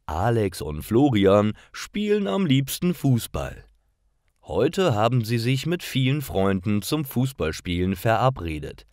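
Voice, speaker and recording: A man reading scripted stories for language learners, phrasing each short sentence deliberately and enunciating clearly. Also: fairly low pitch, no echo, clean studio sound. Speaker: narrator of German learning stories.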